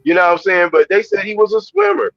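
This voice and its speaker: A man's voice: high voice, sing-song, fast, gravelly, crowd noise. An American man co-hosting a livestream, giving casual, opinionated commentary in informal slang over a dull, lo-fi connection. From United States. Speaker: livestream co-host.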